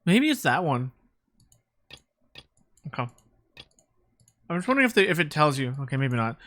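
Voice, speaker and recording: Bright, low-pitched woman streaming casually. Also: sing-song, normal speed. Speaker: game livestreamer. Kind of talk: casual, sweary livestream chat while playing. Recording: game music behind the voice.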